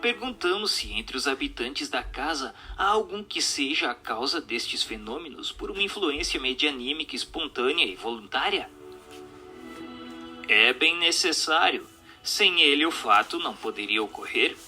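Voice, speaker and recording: Um ator brasileiro interpretando uma voz solene e instrutiva numa radionovela espírita, em tom formal e declamatório. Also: male voice, on the high side, expressive, moderate pace, sharp tone, slightly rough, soft background music, full character voice. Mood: solemn, instructive. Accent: Brazilian Portuguese. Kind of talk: scripted radio drama reading of a spiritist question-and-answer dialogue.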